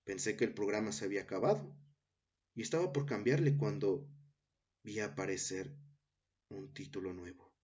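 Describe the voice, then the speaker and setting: A man narrating a scripted horror story in a measured, dramatic storytelling manner. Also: fairly low pitch, slightly rough. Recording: dull sound under loud music bed. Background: loud background music.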